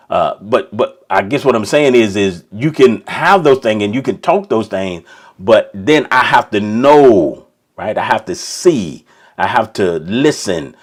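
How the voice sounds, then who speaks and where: Rambling man preaching. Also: fairly low pitch. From Kentucky. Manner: rambling, many restarts and "right?" checks. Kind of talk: informal sermon.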